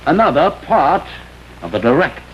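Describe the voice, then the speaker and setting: An actor playing a stubborn, blunt investigator with an insistent, clipped delivery in a 1950s television drama. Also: male voice, a little high, very animated, moderate pace. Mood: determined. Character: stubborn, agitated male investigator, determined and blunt. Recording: muffled, noisy vintage television soundtrack.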